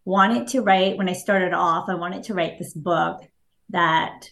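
Japanese-first-language woman author, low and gravelly, lots of ums and little laughs. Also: a little slow. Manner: thoughtful, hesitant with fillers and soft laughs. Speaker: author guest. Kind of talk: casual interview answers.

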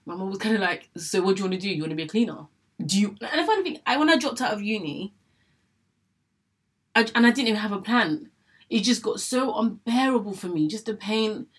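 Husky young woman chatting candidly. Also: medium pitch, a little fast. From England. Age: young adult. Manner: chatty, repeats herself, acts out her own thoughts. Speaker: vlogger sharing her illness story. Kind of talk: candid personal monologue.

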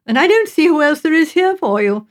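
A woman's voice: sits high, big pitch swings, fairly quick, voice pushed up. A woman voicing a spirited, outspoken young English sister in an audiobook, chatty, emphatic and teasing. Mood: musing, playful.

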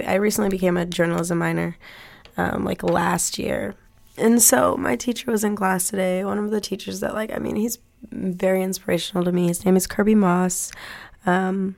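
A college student in her twenties talking casually to her viewers with a hoarse, raspy voice that sounds like a smoker's, rambling with lots of "like.". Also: fairly low pitch, darkish tone. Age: 20s. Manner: rambling, says "like" often. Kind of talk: casual talk to camera.

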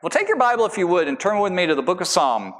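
A man's voice: high voice, big pitch swings, fast talker, a bit bright, long reverb tail. A man pastor giving a conversational sermon with rambling asides and everyday illustrations, recorded in a church sanctuary.

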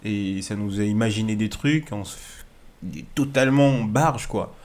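A man in his thirties from Alsace, a guest artist recounting his path in a relaxed, storytelling way with frequent fillers, in an apartment. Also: lowish voice, unhurried. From Alsace, France. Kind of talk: casual interview answers.

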